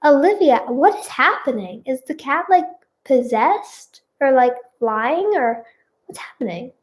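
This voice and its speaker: A woman's voice: high-pitched, expressive, unhurried. A woman voicing a bewildered, cautious young man in a story, with a questioning manner.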